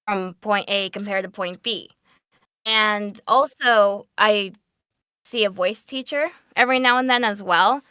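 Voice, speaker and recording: A woman speaking casually as a voice actor answering questions on a panel, in an animated, chatty way. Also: mid-range voice, clean tone, no echo.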